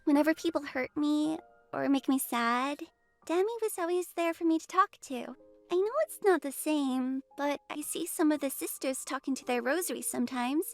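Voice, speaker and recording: High-voiced sweet little girl. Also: moderate pace, faint music behind. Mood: sweet, earnest. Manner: soft, childlike, earnest. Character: sweet, innocent, affectionate little orphan girl. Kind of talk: visual novel voice acting.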